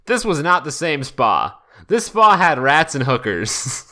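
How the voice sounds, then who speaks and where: A man hosting a podcast, reading fan fiction aloud in a jokey, deliberate way with frequent asides. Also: a little high, sing-song, bright, forceful tone. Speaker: podcast host.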